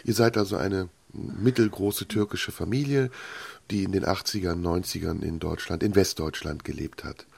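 A man interviewing a guest, asking measured, reflective questions. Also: deep voice, gravelly, darkish tone, husky.

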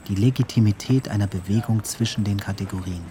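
An actor playing a solemn voice, reciting poetic radio play phrases in a clipped way. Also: male voice, lowish voice, fast talker, a crowd in the background, lightly acted. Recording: clear vehicle and ambient noise.

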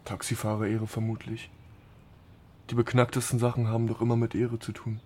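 A man's voice: on the low side, monotone, brisk, natural voice. An actor playing a blunt, sardonic man in radio drama dialogue.

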